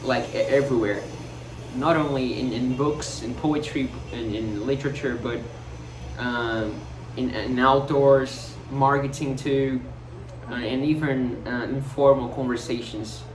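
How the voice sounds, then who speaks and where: A man's voice: medium pitch, steady tone, a little slow. Hesitant man with a Brazilian Portuguese accent. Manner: hesitant, many fillers and restarts, searching for words. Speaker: vlogger. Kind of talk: casual musing monologue. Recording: roomy echo, distant mic, noticeable noise.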